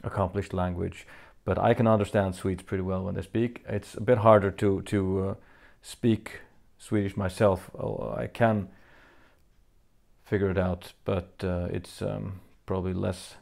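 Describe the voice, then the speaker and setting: Low gravelly Faroese man answering fans. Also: little pitch movement, darkish tone. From Faroe Islands. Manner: halting, many fillers and restarts, reads questions aloud. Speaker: musician answering fan questions. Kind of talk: casual Q&A monologue.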